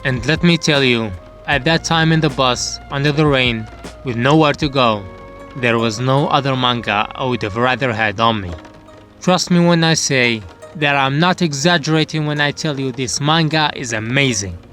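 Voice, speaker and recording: A man's voice: medium pitch, normal speed, fairly bright tone, soft background music. A man giving a scripted storytelling narration for a manga review channel.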